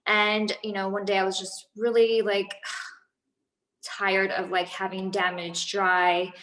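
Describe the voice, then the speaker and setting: A woman's voice: medium pitch, a bit bright, reverberant, rough recording. A woman in her thirties, a business founder, chatting casually in an interview with many fillers and restarts. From Los Angeles, USA.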